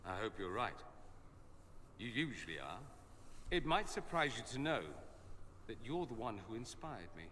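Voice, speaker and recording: A man's voice: medium pitch, sing-song, normal speed, pauses often, bright, forceful tone, long reverb tail. A man playing a suave, earnest mage in a video game, articulate and reflective. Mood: earnest, hopeful. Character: suave, earnest adult male mage, homesick idealist. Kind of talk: video game character dialogue.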